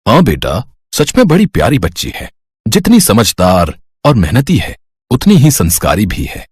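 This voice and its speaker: A man's voice: on the low side, wildly swooping pitch, moderate pace, slightly rough, no echo, clean studio sound, pitched below the performer's own voice, exaggerated voice. A man voicing a kindly middle-aged father in a cartoon story, affectionate and approving. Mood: fond, regretful. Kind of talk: Hindi cartoon story dialogue.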